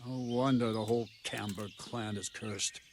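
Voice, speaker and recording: An actor playing a seasoned, wry guard captain in a medieval video game, speaking bluntly and with measured weight. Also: male voice, on the low side, steady tone, noisy background, lightly acted. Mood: wry, grave.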